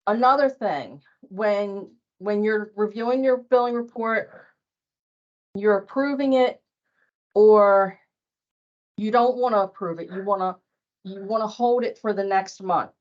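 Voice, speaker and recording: Woman, unhurried delivery, emphatic, repetitive for stress, informal staff training talk, muffled lo-fi sound. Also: medium pitch. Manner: emphatic, repeats points for stress.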